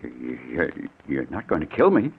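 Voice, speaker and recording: An actor playing a nervous, excitable businessman who stammers in fear for his life, in an old-time radio suspense drama. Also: very deep voice, fairly quick, husky, full character voice. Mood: fearful, stalling. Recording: tinny, muffled old radio broadcast.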